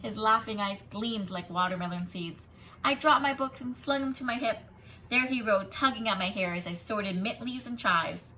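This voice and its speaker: A woman teacher reading a children's story expressively and pausing to explain it in an instructive, emphatic way. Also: medium pitch, pushed and bright, a little hiss or hum, poor audio. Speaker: kindergarten teacher.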